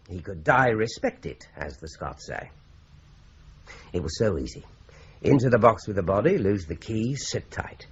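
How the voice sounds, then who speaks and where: An actor playing a shrewd, probing police investigator in a radio drama, measured and dry in manner. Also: male voice, on the low side, a little hiss or hum. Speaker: police detective investigating a murder. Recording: dull lo-fi radio recording.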